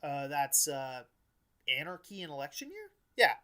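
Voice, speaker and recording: A man speaks in a bright, forceful voice pitched a little high. He co-hosts a casual podcast, rambling in stops and starts and leaning heavily on filler words. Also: big pitch swings, moderate pace. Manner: rambling, filler-heavy, stop-and-start delivery. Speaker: podcast co-host.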